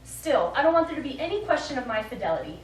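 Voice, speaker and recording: An actress playing a shrewd, teasing, discontented wife in a live stage comedy, with a coy, sardonic delivery. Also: female voice, sits high, big pitch swings, fast talker, distant mic. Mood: coy, wry. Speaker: stage actor. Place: small theatre stage, distant microphone. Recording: echoey, noisy stage recording from afar.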